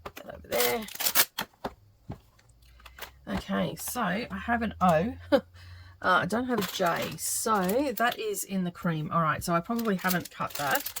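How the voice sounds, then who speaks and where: A middle-aged woman with a slightly croaky voice, chatting and thinking aloud as she works on a quilt for her craft channel. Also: mid-range voice, moderate pace, noisy background. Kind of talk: casual craft vlog narration while working.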